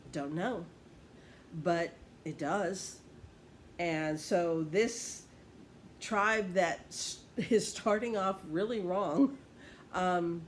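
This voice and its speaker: Slow, measured woman teaching Bible study. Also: mid-range voice, slight background noise. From Puerto Rico. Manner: thinking aloud, pausing and restarting, leafing through notes. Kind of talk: unscripted Bible lesson.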